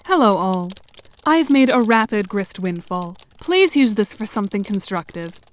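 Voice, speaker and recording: A woman's voice: medium pitch, big pitch swings, moderate pace, over music, close to an everyday voice. A woman playing a dry, self-assured, sardonic teenage girl with formal diction in an audio drama.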